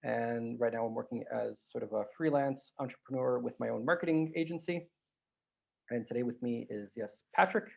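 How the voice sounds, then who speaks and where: A man from Croatia, hosting a casual interview podcast in a relaxed, chatty way. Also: medium pitch, normal speed, vocal fry. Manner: relaxed, chatty, with restarts and filler. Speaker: podcast host. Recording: lo-fi podcast audio.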